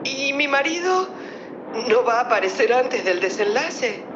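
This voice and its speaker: An actress playing an anxious, sentimental wife in a radio play. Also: female voice, high-pitched, lively intonation, measured pace, noisy background. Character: anxious, sentimental wife missing her husband. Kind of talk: theatrical radio play acting. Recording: dull sound under loud music.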